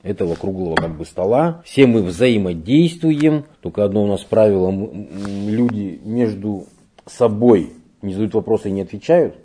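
A man working as a parish catechist, answering interview questions in an unhurried, explanatory way with frequent hesitations. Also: fairly low pitch, expressive, warm tone, husky. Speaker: church catechist. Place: parish room.

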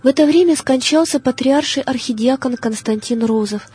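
A woman reading a scripted biographical audiobook in a measured, solemn manner. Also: sits high, darkish tone, husky, soft background music. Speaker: narrator.

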